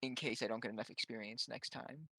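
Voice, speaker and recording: A man's voice: medium pitch, a little fast, bright, forceful tone, husky, dry recording. A man chatting casually as a player in a tabletop game. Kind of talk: casual chat.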